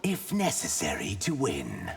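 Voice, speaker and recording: A man playing a loyal, dutiful reptilian shapeshifter in a video game, speaking in an earnest, deliberate way. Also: low voice, huge pitch swings, gravelly, very noisy, full character voice. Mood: firm. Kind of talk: video game character acting.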